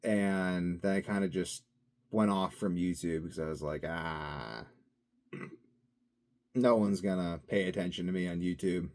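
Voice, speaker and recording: A young man talking casually to camera, wandering from thought to thought and swinging between loud bursts and mumbled asides. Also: sits low, measured pace, pauses often. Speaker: vlogger. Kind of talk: casual rambling monologue.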